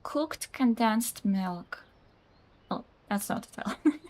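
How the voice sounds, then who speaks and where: A woman's voice: a little high, lively intonation, takes it slowly, darkish tone. A young woman whose first language is Russian, chatting casually while streaming a game, rambling with many "like"s, reading out chat messages and laughing often.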